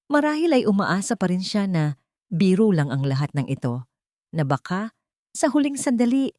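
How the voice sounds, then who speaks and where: A woman narrating a dramatic story with steady scripted delivery. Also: fairly low pitch, no echo.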